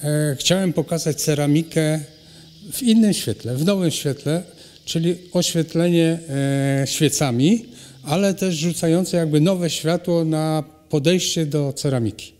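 A middle-aged man, a ceramic artist, answering interview questions in a halting way with many pauses and restarts. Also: on the high side, darkish tone. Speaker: ceramic artist and cultural animator, guest. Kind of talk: hesitant conversational interview answers. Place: hall at a cultural centre. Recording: long reverb of a hall.

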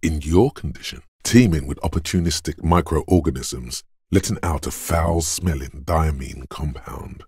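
An actor voicing the brooding inner voice of a detective's body in a video game, gravelly and husky, speaking gravely and deliberately with theatrical weight. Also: male voice, very deep voice, a little mellow, no echo, studio quality, exaggerated voice. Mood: stern, weighty. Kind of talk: scripted game narration in second person.

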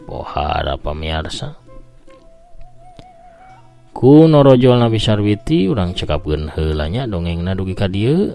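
Older man, Sundanese accent, deep pitch, folksy storyteller, expressive, conversational, faint background music. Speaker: folk tale storyteller and host. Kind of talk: expressive storytelling and reading out listener messages.

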